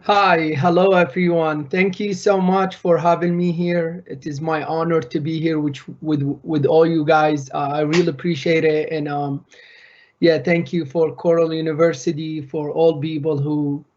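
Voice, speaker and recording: Male guest speaker, Iraqi accent, native Arabic speaker, fairly high voice, unscripted and searching for words, slightly dull audio. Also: little pitch movement, moderate pace, a little mellow. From Baghdad, Iraq. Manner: hesitant, repeats words while searching for phrasing. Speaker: guest speaker, doctoral student. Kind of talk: informal unscripted talk to an audience.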